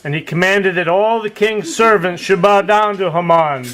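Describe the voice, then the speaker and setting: Guy telling a kids story for puppets, high voice, simple phrasing, slight noise. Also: normal speed, a bit bright, low-quality recording. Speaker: narrator of a children's puppet show. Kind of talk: storytelling narration.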